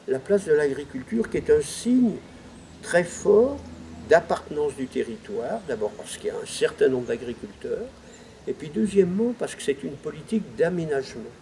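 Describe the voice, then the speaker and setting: A man's voice: fairly high pitch, gravelly, warm tone, slight background noise, low-quality recording. A man giving interview testimony as a local official, explaining step by step and stressing his key points. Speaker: former president of an intercommunal council, interviewed.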